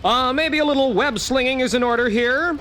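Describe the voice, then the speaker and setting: A man's voice: very high voice, brisk, sharp tone, a little hiss or hum. An actor playing a young, wisecracking male superhero in a 1970s radio play, upbeat and quippy, talking to himself. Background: music score.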